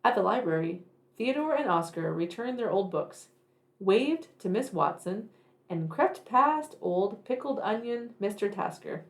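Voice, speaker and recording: A woman reading a picture book aloud to young children, deliberate and expressive in her narration. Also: mid-range voice. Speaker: children's read-aloud storyteller.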